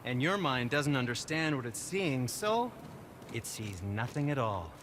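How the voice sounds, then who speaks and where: Fussy, animated dwarf craftsman. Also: mid-range voice, measured pace, slight background noise. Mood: sheepish. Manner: hesitant, fussy, jittery. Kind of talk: video game dialogue acting.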